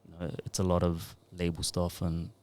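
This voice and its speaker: A man's voice: low voice, completely flat delivery, normal speed, dark tone. A man in his thirties, a music producer and DJ, talking in a laid-back, rambling way into a podcast mic. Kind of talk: casual podcast interview.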